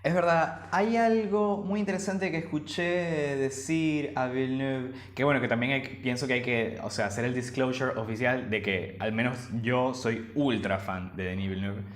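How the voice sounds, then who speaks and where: A man's voice: medium pitch, moderate pace, hardly any pauses. Male podcast co-host from Venezuela, eager and rambling, recorded in a reverberant room. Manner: enthusiastic, rambling with many restarts. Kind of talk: casual chat. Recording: roomy echo.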